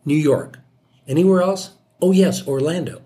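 A man in his seventies giving opinions in a measured way during a family chat. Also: fairly high pitch, dark tone. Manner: measured, opinionated, with hesitant restarts. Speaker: guest, podcast hosts' father. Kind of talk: casual family conversation.

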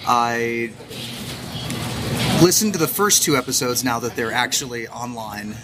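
A man's voice: medium pitch, animated, unhurried, very noisy. A man co-hosting a podcast, chatting casually in a self-deprecating way with many fillers. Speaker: podcast co-host. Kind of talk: casual chat. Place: Japanese supermarket eatery. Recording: music playing behind.

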